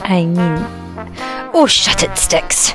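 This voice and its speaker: A woman playing a bossy, short-tempered woman with a scolding, sharp-tongued manner in an amateur audio drama. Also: lowish voice, expressive, slow, music in the background.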